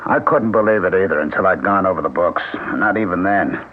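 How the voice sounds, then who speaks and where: A man's voice: deep voice, brisk, a little hiss or hum, natural voice. An actor playing a bitter, defensive businessman in a 1970s radio drama, irritable and sarcastic. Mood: weary. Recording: tinny lo-fi old radio broadcast.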